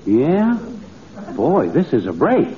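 An actor playing a cheerful, gullible middle-aged braggart in a folksy, chuckling, excitable old-time radio comedy style. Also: male voice, mid-range voice, takes it slowly. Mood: eager. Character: boastful, cheerful, gullible middle-aged small-town man. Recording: dull, noisy old radio recording.

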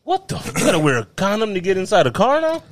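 A man's voice: high-pitched, wildly swooping pitch, fast talker, no echo. A man co-hosting a podcast, tossing off wry, profane quips in casual banter. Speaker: podcast co-host.

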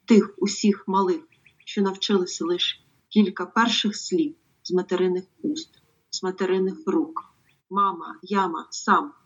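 Native Ukrainian woman translator, slightly low-voiced, pauses often, heard over muffled audio. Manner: halting, hesitant speech with many pauses and fillers. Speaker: poetry translator.

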